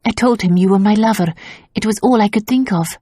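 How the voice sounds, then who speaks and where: A woman reading an audiobook voices an anxious, quick-witted young noblewoman who pleads breathlessly. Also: mid-range voice, dark tone, no echo, studio quality, pitched above the performer's own voice, lightly acted. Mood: apologetic.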